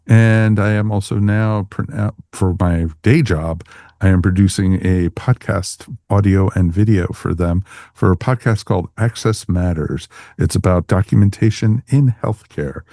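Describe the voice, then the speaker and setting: Low-voiced man hosting a casual podcast. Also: measured pace, mellow, no room sound, studio quality. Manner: chatty, informal. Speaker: podcast host. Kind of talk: casual podcast sign-off chat.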